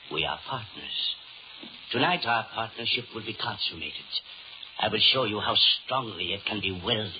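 An actor playing a suave devil posing as a stranger in 1930s radio horror, speaking formally and deliberately with ominous courtesy. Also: male voice, on the low side. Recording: muffled, noisy 1930s radio recording.

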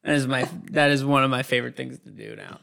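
A man's voice: mid-range voice, steady tone, fast talker, creaky, fairly bright tone. A young adult man chatting casually as a podcast co-host. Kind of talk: casual chat.

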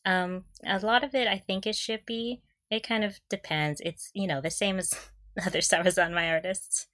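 Bright-voiced, gushing woman podcaster. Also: mid-range voice, steady tone, moderate pace. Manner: gushing, giggly. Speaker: podcast co-host. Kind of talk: casual fan chat.

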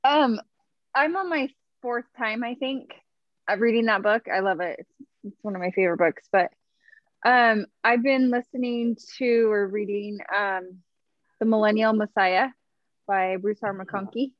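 A woman's voice: mid-range voice, measured pace, no echo. A woman in an online discussion group, chatting candidly and at length with many fillers over a video call. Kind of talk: casual online group chat. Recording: dull dry video call audio.

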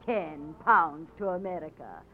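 A woman's voice: low-pitched, a little slow. An actress playing a bedraggled, hungry young Irish woman, plaintive and reproachful, in a 1940s radio drama. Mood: weary, wistful. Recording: tinny, noisy 1940s radio recording.

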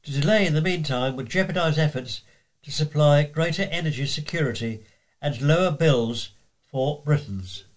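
A man's voice: fairly high pitch, moderate pace, rough recording. A man reading scripted local news as a volunteer newsreader.

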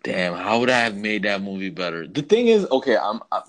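A man's voice: lowish voice, big pitch swings, brisk. A man chatting casually as a podcast co-host, slangy and ranting about movies, often addressing his partner as bro.

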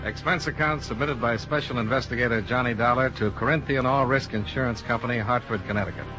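An actor playing a brisk, clipped insurance investigator narrating his case in a 1950s radio detective drama. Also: medium pitch, lightly acted. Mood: dry narration. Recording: muffled 1950s radio recording, loud music.

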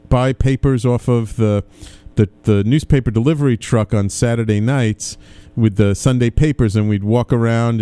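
A man's voice: mid-range voice, dark tone, smooth, no echo. A man hosting a radio show in a casual, conversational interview style, chatty and rambling as he talks.

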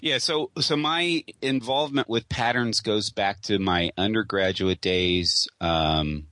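A male podcast guest thinks back as he speaks, pausing to search for his words. His voice is deep, with a bright, forceful edge, and the recording is close and dry. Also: no room sound. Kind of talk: casual storytelling on a podcast.

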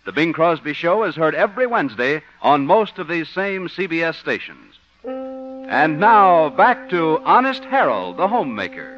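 A man's voice: a little high, big pitch swings, normal speed. Old muffled radio, guy announcer w music behind, reading script in swingy 50s style.

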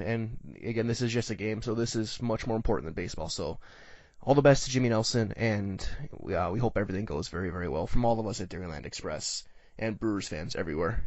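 A man's voice: fairly low pitch, darkish tone, no room sound, highs cut off. A man with an American accent hosting a sports show, talking through the news in a conversational commentary style. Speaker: sports podcast host.

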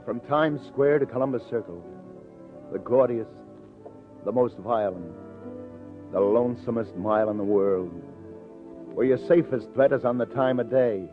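Actor playing a wry, world-weary New detective, old radio. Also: male voice, mid-range voice, normal speed, long pauses, lightly acted. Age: middle-aged. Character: seasoned, wry New York police detective, former boxer. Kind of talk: 1950s radio drama acting, hard-boiled narration. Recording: muffled old radio broadcast, music behind.

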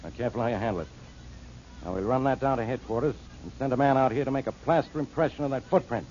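Casting: a man as a dismissive, gruff police inspector, mid-pitched, on dull old radio audio. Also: quick pace, darkish tone, a little hiss or hum, natural voice. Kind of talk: 1940s radio acting. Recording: muffled old radio broadcast.

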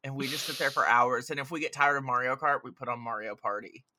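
Very sharp, forceful male podcast guest. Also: medium pitch, fairly even intonation, fast talker, a little hiss or hum. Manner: blunt, sardonic, mildly sweary. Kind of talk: casual, opinionated banter.

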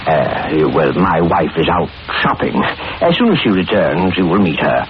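Swooping, fussy, sinister genial employer, old radio. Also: male voice, low voice, lively intonation, moderate pace. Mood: smooth, businesslike. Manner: dithering, repeats himself, unctuous chuckles. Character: fussy, falsely genial middle-aged male employer with sinister intent. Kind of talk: 1940s radio horror acting. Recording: noisy, muffled old radio recording.

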